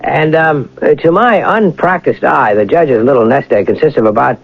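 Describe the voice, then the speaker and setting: An actor playing a wealthy, educated young gentleman turned bank robber in a radio drama, polished and formal with measured pauses. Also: medium pitch, creaky, slightly rough. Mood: suave, satisfied. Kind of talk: radio drama acting and first-person narration. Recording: muffled vintage radio broadcast.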